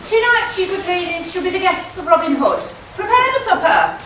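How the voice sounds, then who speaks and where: A woman plays a cheeky pantomime outlaw hero in a high, swooping voice. The delivery is bold and declamatory, full of banter, and carries from far off in a very echoey hall. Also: very animated, normal speed, a bit bright, heavily acted. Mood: bold, teasing. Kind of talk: broad stage pantomime acting. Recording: echoey hall, distant mic, background noise.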